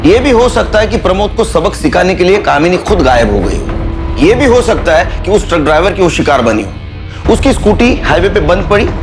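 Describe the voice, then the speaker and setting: An actor playing a stern senior police officer, deliberate and probing in a TV crime drama. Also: male voice, high voice. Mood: grim speculation. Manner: authoritative, interrogating, deliberate. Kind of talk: police procedural TV acting. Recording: dramatic background music under dialogue.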